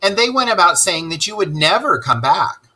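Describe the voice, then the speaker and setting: A man voicing a stern, excitable male water rat who scolds emphatically in a children's storybook read-aloud. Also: high voice, moderate pace, pitched above the performer's own voice, full character voice, low-quality recording. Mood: earnest, excited.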